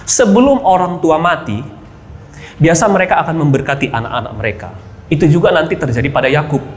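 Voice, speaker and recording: A man's voice: on the high side, lively intonation, a little fast. A male pastor preaching a sermon who keeps addressing the congregation directly and asking rhetorical questions. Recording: echoey hall reverb.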